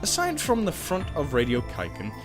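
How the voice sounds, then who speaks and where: A man narrates a video game playthrough with very lively, swinging pitch over clear background music. He reads the text aloud, then slips into goofy, exclamatory asides as the host. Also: normal speed. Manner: jokey, exclamatory, swings between reading and goofy outbursts. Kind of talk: let's play, reading game text aloud with joking asides.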